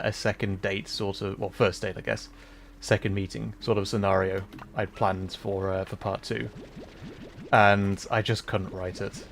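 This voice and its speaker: A man with a fairly low voice hosts a casual gaming livestream. He talks in a thoughtful, rambling way, feeling out his phrasing as he goes. Faint music plays behind him. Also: vocal fry. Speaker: livestream host, VTuber and audio voice performer. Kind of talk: casual livestream chat while playing a video game.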